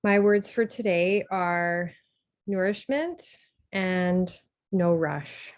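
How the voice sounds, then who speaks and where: A woman from British Columbia introducing herself on a group call, calm and unhurried. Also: on the low side. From British Columbia, Canada. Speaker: participant.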